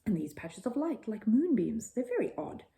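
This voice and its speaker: A polite, curious storybook shopkeeper, a man voiced by a woman. He speaks in a wondering, slightly hesitant way, with pitch that climbs and dips freely in a mellow tone. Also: very animated, normal speed, vocal fry. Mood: puzzled. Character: polite, puzzled male shopkeeper, curious and obliging.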